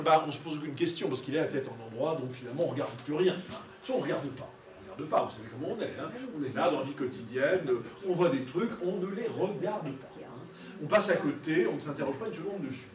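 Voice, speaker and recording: Higher-voiced man lecturing in an echoey room. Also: slight background noise. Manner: conversational, peppered with tag questions. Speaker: art lecturer leading a discussion. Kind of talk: interactive spoken lecture. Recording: echoey room, distant mic, audience murmur.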